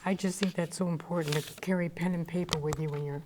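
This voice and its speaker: A woman's voice: on the low side, steady tone, fast talker, noisy background. A woman hosting a radio talk show, chatty and eager, telling anecdotes and asking her guest questions. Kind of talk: casual interview chat.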